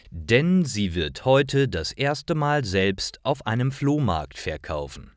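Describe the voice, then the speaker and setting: A man reading simple stories for language learners as a scripted narration, in careful, clearly separated short phrases. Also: fairly low pitch, no echo, clean studio sound.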